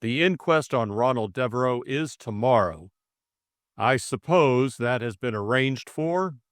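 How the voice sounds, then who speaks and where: A man voicing a stern Russian who chairs a secret criminal society, speaking in a commanding, deliberate way for an audiobook. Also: mid-range voice, expressive, dry recording, studio quality, voice pushed up, lightly acted. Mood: businesslike.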